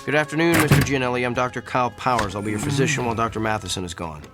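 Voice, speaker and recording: A man's voice: medium pitch, racing, over music, natural voice. An actor playing a curt, arrogant male doctor in a radio drama. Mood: puzzled. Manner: curt and defensive. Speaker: physician.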